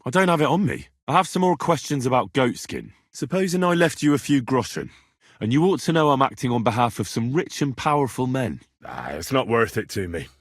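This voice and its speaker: A man's voice: mid-range voice, very animated, a little fast, no room sound, clean studio sound. A man playing an earnest, blunt, streetwise young adventurer in a medieval video game, speaking in plain, colloquial British phrasing. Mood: wary, bargaining. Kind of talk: video game dialogue voice acting.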